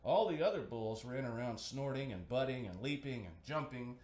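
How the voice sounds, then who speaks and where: A man narrates a children's storybook with a very animated delivery. His voice sits at a middle pitch, and the room around him is audibly echoey. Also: normal speed. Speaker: storybook reader, host.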